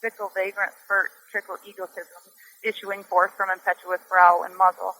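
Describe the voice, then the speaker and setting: Bright, forceful woman reciting poetry. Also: mid-range voice, little pitch movement, normal speed, poor audio. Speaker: poet reading own work.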